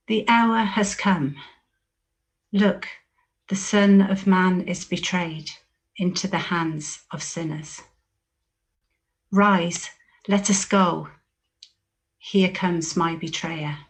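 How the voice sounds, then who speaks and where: A woman reading scripture solemnly and in a measured way, lifting the quoted speech with expression. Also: medium pitch. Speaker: scripture reader.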